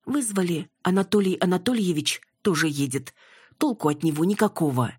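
A woman's voice: on the low side, animated, fairly quick, a little mellow, slightly rough, dry recording, studio quality, voice pushed up. A woman voicing a spirited, wry and direct young woman in an audiobook. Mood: dismissive.

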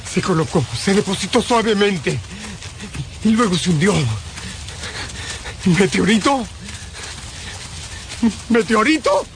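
An actor playing an anxious, obsessive astronomer in a radio drama, insistent and emphatic, speaking in clipped, repeated phrases. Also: male voice, sits high, noisy background, full character voice. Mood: excited. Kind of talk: Spanish-language radio drama acting.

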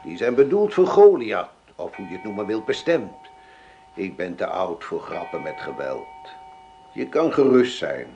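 A blunt, grumbling old farmer, low and rough-edged. It is a gruff, distrustful old man on a radio play. The recording sounds dull and lo-fi. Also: big pitch swings, measured pace, mellow, faint music behind, exaggerated voice. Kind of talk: radio drama acting.

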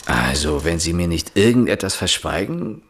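An actor playing a weary, dismissive middle-aged police inspector in a radio drama, deadpan and brusque. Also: male voice, sits low, moderate pace. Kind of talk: radio drama acting with inner monologue.

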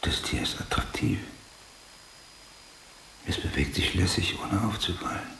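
An actor playing an obsessive, brooding police detective in a German radio drama, speaking in a clipped mutter that drifts toward a whisper. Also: male voice, low-pitched, a little slow, creaky, fairly bright tone, exaggerated voice. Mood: obsessive, analytical. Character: obsessive, brooding male police detective hunting a serial killer. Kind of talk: German radio drama acting, interior monologue. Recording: roomy, faint hiss.

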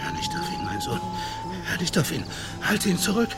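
A man's voice: very high-pitched, wildly swooping pitch, normal speed, loud music behind the voice, heavily acted. An actor playing a desperate, pleading swindler who poses as a father, in a German radio play. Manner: agitated, pleading.

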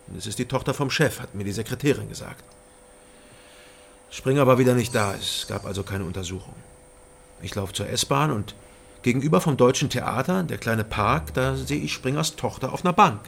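A man's voice: mid-range voice, creaky, fairly bright tone, slight background noise. An actor playing a weary, guarded East German man who narrates and speaks in a radio crime drama, restrained and hesitant.

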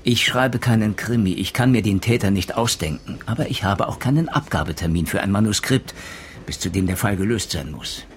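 A man playing a persistent, eloquent police inspector in a radio crime drama, speaking deliberately and theatrically. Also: lowish voice, slight background noise, lightly acted. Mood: measured, grave. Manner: deliberate, emphatic, rhetorical.

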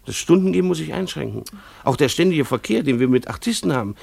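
An actor playing a harried schoolteacher and father in a German radio play, earnest and wry, with formal, deliberate phrasing. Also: fairly high pitch, expressive, vocal fry, a little mellow, breathy edge, exaggerated voice. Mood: wry, apologetic.

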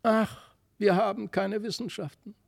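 A man's voice: high-pitched, steady tone, unhurried, vocal fry, voice pushed up, full character voice. A man voicing a tender, naive little girl in a fairy-tale reading, wistful and sighing. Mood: wistful, distressed.

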